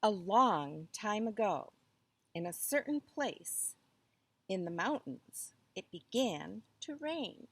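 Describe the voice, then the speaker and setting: A woman reading a children's story aloud with expressive, deliberate phrasing. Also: medium pitch, breathy edge, no echo.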